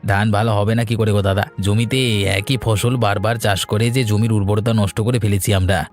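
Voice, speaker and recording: Man playing a deep, quick village farmer. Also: level tone, close to an everyday voice. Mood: concerned. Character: anxious, deferential younger village farmer man. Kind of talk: cartoon story dialogue. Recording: background music bed.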